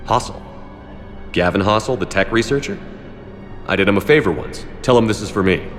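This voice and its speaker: A man's voice: on the low side, lively intonation, fairly quick, faint music behind. A man playing a stern, authoritative space military commander in a video game, terse and dry in his delivery. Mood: businesslike.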